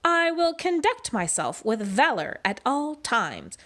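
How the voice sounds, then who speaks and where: A prim young rascal from a picture book answers in formal, overly proper phrases, as a woman puts him on. The voice is high and bright and the delivery unhurried. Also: big pitch swings, exaggerated voice. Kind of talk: storybook read-aloud.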